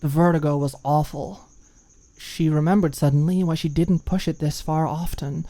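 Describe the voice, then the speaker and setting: A woman reading a scripted story as an audiobook narrator, in an expressive storytelling style. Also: low-pitched, moderate pace.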